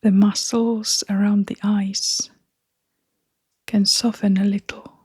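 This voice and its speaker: Female meditation guide: hushed and breathy, level intonation, unhurried short phrases with long gaps, very dry close recording. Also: mid-range voice, flat delivery, dry recording. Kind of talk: guided meditation, soft-spoken.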